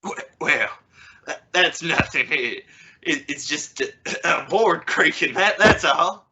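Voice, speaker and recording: A flustered family father, played by a young woman in an old-time radio comedy, sputters as he insists on being reasonable. His delivery is slow and swings widely in pitch, on a recording with clear noise and clicks. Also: lively intonation, muffled recording. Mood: nervously reassuring. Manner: stammering, sputtering. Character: flustered, exasperated father trying to sound reasonable.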